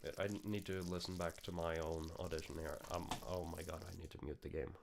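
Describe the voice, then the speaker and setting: A man streaming casually, excitable and scattered, half muttering to himself as he goes. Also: sits low, a little fast, gravelly, noisy background. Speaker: streamer and amateur voice actor. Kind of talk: casual live-stream chat.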